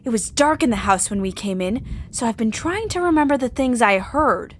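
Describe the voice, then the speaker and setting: Sharp, animated woman detective played by an actress. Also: a little high, normal speed, slight background noise, lightly acted. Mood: determined, revelatory. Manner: emphatic, methodical, dramatic. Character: sharp, determined woman amateur detective laying out accusations. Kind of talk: audio drama murder mystery acting.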